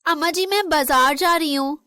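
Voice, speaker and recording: A woman voicing a gentle, dutiful young Indian daughter-in-law in an animated moral story. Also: high voice, normal speed, no echo, clean studio sound. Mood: polite.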